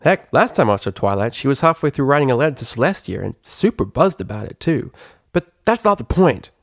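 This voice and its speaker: A man's voice: medium pitch, big pitch swings, brisk, dry recording, studio quality, pitched above the performer's own voice. A man voicing a brash, irritable young female pegasus pony from a cartoon story, with a cracking voice and slangy, blustering delivery. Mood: cocky, scolding. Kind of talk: animated character voice in a story reading.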